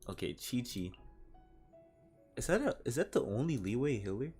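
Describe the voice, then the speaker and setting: A man's voice: mid-range voice, big pitch swings, moderate pace, a little mellow, husky. A man giving casual gameplay commentary, talking to himself in slang. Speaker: gaming streamer. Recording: game music behind the voice. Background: video game music.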